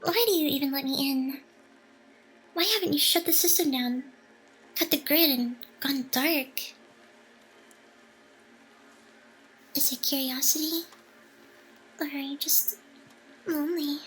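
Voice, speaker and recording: A woman playing a playful, possessive female AI hacker in love, in an intimate ASMR roleplay with breathy sighs and soft teasing. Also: high voice, a little slow, pauses often, slight background noise, rough recording. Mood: lonely, intimate.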